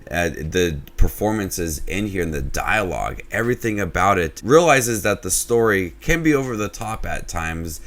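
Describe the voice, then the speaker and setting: A young man hosting his own movie review channel, talking casually in a low, flat way that he says can sound unenthusiastic. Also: moderate pace, hardly any pauses.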